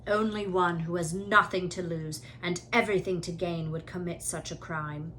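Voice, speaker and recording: A woman voicing a grave, wise male centaur in a storybook, speaking in a solemn, deliberate way. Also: fairly low pitch, steady tone, a bit bright, slight background noise, lightly acted. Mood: solemn warning.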